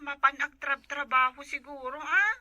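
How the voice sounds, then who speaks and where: A woman's voice: high voice, normal speed, pushed and bright, no echo, muffled recording. A woman with an Ilocano accent voicing a whiny schoolchild daughter in a comedy skit. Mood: offhand.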